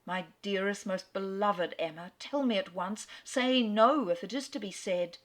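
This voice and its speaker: A woman reading the lines of an earnest gentleman who halts, then declares his love with solemn urgency. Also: medium pitch, a little slow, lightly acted. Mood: tender.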